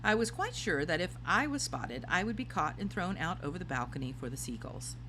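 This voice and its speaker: A woman narrates a storybook expressively. Her voice sits a little low and moves about in lively swoops. A faint hum runs behind the recording. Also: a little fast, poor audio. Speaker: storybook reader.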